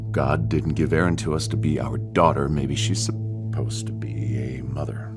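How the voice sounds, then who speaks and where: A man playing a menacing, controlling middle-aged husband in an audio drama, with a gravelly, husky voice and a domineering manner. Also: a bass voice, steady tone, moderate pace, loud music behind the voice. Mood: cold, insistent. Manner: domineering, swings from quiet menace to shouting. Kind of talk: audio fiction acting.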